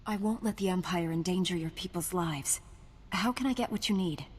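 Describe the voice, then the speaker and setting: Resolute, composed space-knight heroine. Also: female voice, on the low side, normal speed, breathy edge. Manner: earnest, composed. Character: resolute, earnest female Jedi knight heroine. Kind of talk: video game cutscene acting.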